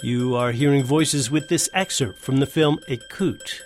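A man's voice: mid-range voice, unhurried, soft background music. A man reading scripted introductions as a radio presenter. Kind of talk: scripted narration.

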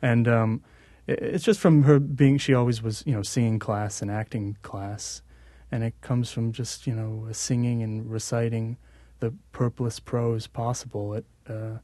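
A man being interviewed on the radio, talking casually with frequent halting restarts. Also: medium pitch, flat delivery, warm tone, no echo. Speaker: musician guest.

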